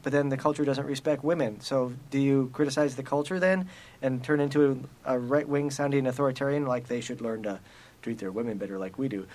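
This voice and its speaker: A man talks very fast on a podcast, stringing his thoughts together with a steady stream of fillers. His voice sits at a middle pitch, and he rambles through his points in a loose, conversational way. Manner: rapid, rambling, full of fillers. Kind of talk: casual discussion.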